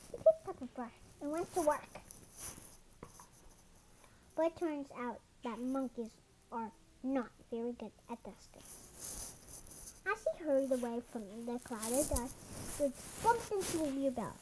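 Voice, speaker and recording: A storybook reader doing an expressive children's picture-book read-aloud, sometimes stumbling over words, recorded indoors at a table. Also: sits high, a little slow, pauses often, slight background noise, rough recording. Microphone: camera over the book.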